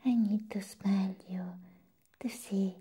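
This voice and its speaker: A young woman whispers very slowly as an eccentric, playful vampire nurse in an ASMR medical exam. Her voice is extremely breathy and mellow, up close to the mic. She stretches her phrases and hums approvingly between them. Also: medium pitch, fairly even intonation. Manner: slow, drawn-out phrases with frequent approving hums. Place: small indoor room set up as a clinic. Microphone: close ASMR microphone.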